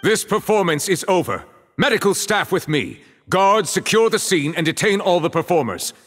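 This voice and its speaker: A man playing a composed, authoritative official in a video game, speaking in a formal, measured manner. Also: sits high, animated, a bit bright, faint music behind. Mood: commanding. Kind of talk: dramatic video game acting.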